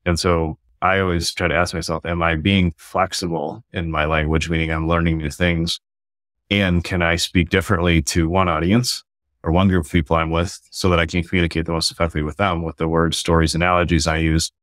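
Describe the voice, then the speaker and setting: A man's voice: deep voice, little pitch movement, a little fast, a bit bright, no echo, clean studio sound. A man, a guest author on a podcast, explaining his ideas earnestly in long flowing sentences over a video call.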